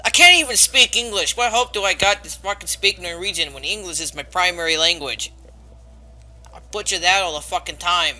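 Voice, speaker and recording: A man's voice: high voice, a little fast, sharp tone, poor audio. A young man streaming a game live, rambling and self-mocking, swearing freely. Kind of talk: casual live game commentary.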